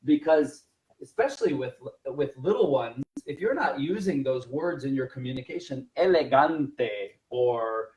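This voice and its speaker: A man with a medium-pitched voice leads a teaching webinar in a conversational way. He explains methods like a working teacher, repeating key words for emphasis. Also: lively intonation, a little slow, a little mellow, husky, low-quality recording. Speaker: language teacher and trainer.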